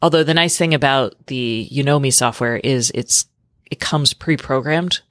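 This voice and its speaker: A woman's voice: very deep voice, normal speed, dry recording, clean studio sound. A woman hosting a tech podcast, talking in a chatty, informal way with lots of "like" thrown in. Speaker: podcast host. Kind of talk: casual tech podcast chat.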